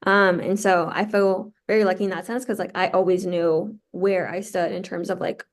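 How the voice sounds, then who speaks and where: A woman's voice: fairly low pitch, steady tone, moderate pace. A woman in her twenties, born in Peru and raised in the United States, speaking as an interview guest, chatting casually and filling her sentences with "like.". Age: 20s. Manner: chatty, heavy use of "like" fillers. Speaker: guest, law student.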